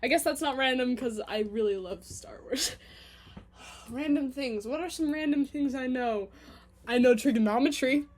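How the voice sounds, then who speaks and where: A woman's voice: high-pitched, slight background noise. A young woman with a Canadian accent, talking to her camera at home in a chatty, self-mocking, rambling monologue. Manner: chatty and self-mocking, quick giggly asides. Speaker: vlogger.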